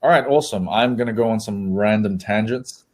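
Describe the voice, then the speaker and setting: A man with a very low-pitched voice, speaking as a guest on a live show over a video call. He explains his agency's work in a relaxed, rambling way, with many ums along the way. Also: brisk. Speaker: guest co-host, agency co-founder. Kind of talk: casual business talk.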